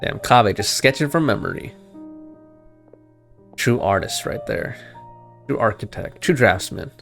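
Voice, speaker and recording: A man's voice: on the low side, expressive, a little slow, creaky, fairly bright tone. A man doing casual, slangy, joking reaction commentary as he streams a game. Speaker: gaming streamer. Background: game music.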